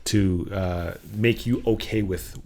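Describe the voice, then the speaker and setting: A man co-hosting a podcast, asking probing questions and encouraging his partner with steady affirmations. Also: low-pitched, takes it slowly, slight background noise. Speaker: podcast co-host. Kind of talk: interviewing co-host in casual chat.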